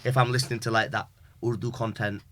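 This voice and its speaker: A man's voice: on the low side, little pitch movement, fast talker, a bit bright, a little hiss or hum. A young man from Pakistan, chatting loosely as a podcast guest, full of fillers and slang. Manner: rambling, filler-heavy, uses British slang. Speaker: guest wrestler. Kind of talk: casual podcast chat.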